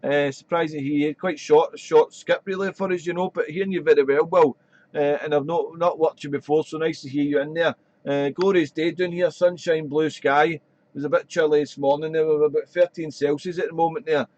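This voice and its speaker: Chatty radio ham, slightly croaky. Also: male voice, on the high side, fairly even intonation, brisk, darkish tone, no echo, dull audio. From Wales. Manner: chatty, rambling, fills with "there". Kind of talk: casual radio chat. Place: home radio shack.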